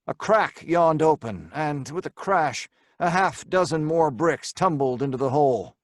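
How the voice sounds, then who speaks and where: An actor playing a hard-boiled crook with an American accent, dramatically acting and narrating in old-time radio horror style. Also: male voice, fairly high pitch, expressive, unhurried, no room sound, studio quality. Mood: tense narration. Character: Stanley, hard-boiled male crook narrating his own doom.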